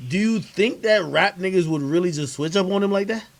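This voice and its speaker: A man's voice: sits high, brisk. A man hosting a casual, heated livestream interview, talking in profane street slang and insistently repeating his points. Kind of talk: casual heated argument on a stream.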